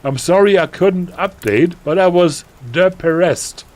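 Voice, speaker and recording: Man putting on a shrill, melodramatic teen goth girl. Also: measured pace. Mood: gushing, bratty. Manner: overwrought, shouty exclamations. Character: shrill melodramatic teenage goth girl narrator, hot-tempered. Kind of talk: mock-dramatic reading of fan fiction.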